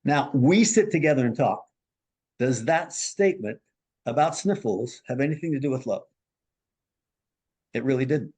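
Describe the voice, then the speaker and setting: A man in a middle register tells a counseling story in a podcast conversation. He works as a marriage and family therapist. He acts out the people he quotes with sudden leaps in pitch. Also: moderate pace, pauses often, breathy edge. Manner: animated storyteller, acts out quoted voices with big pitch jumps.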